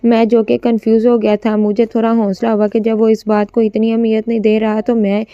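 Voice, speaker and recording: A woman's voice: fairly high pitch, completely flat delivery, fairly quick, clean tone, no echo, lo-fi sound. A woman narrating a scripted first-person story, occasionally stumbling as she reads.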